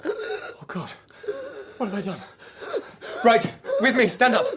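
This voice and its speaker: An earnest young doctor in love, in a radio drama. His delivery swings widely in pitch, hesitant one moment and drily funny the next. There is some faint noise and clicking on the recording. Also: lively intonation, slow. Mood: flustered.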